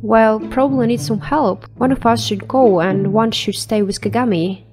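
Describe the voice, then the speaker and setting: Woman as an earnest, high-voiced teenage heroine. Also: moderate pace, music in the background, close to an everyday voice. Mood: practical. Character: earnest, level-headed teenage superheroine. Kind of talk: animated comic dub.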